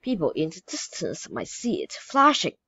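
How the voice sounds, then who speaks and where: Lady reading as an eager little girl, husky voice, slow and lively. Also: lowish voice, no echo, dull audio, heavily acted.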